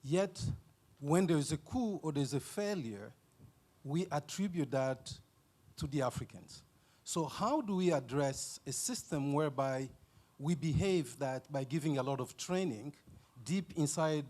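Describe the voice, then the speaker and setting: A man's voice: a little high, darkish tone. Articulate man questioning a panel. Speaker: think-tank researcher asking a question. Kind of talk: conference Q&A question. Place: conference hall.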